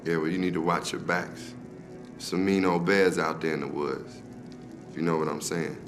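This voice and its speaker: An actor voicing a cocky, joking demolitions expert with a colloquial, swaggering way of talking in a video game. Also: male voice, low-pitched, little pitch movement, normal speed, over music. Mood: wry, cocky. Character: cocky, folksy male demolitions expert in the resistance. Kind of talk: video game cutscene acting.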